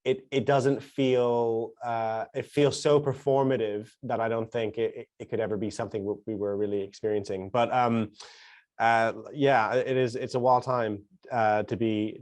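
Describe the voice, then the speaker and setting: A man's voice: fairly low pitch, darkish tone. A man hosting a casual, reflective discussion, searching for his words as he goes. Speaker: host.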